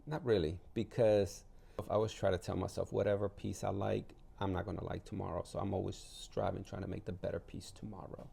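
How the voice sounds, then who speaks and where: A man's voice: deep voice, little pitch movement, a little fast, gravelly, mellow, husky. A man from El Salvador, an artist and gallery owner, talking casually and anecdotally as an interview guest.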